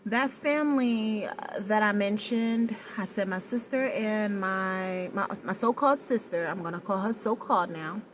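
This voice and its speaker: A woman with a low voice talking straight to the camera in a personal vlog, hesitant and searching for words, with many pauses and restarts. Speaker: vlogger. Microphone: no external mic, camera mic.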